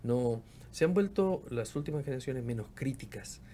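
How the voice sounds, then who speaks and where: Reflective Argentine-born man, mid-pitched. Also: animated, normal speed, warm tone, a little hiss or hum. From Argentina, Patagonia. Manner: reflective, often repeats words while searching for them. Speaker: interview guest, researcher and professor. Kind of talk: casual interview conversation.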